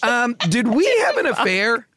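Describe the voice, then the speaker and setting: Lively, wry man with a bouncy voice. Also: very high-pitched, moderate pace, background noise. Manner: rambling, full of fillers and restarts, wry. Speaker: podcast co-host. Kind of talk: casual movie discussion.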